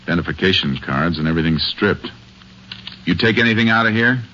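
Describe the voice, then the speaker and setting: An actor playing a steady, stern Texas Ranger who speaks and narrates tersely in a 1950 radio drama. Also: male voice, low-pitched, brisk, a little hiss or hum. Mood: firm, questioning. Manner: terse, laconic, authoritative. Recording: dull vintage radio recording.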